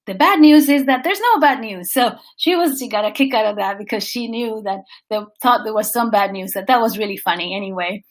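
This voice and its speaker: Lively Venezuelan-born woman telling a road story. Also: a little high, brisk, few pauses. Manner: animated, restarts phrases, briefly sings place names. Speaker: vlogger and coach. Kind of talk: casual storytelling livestream.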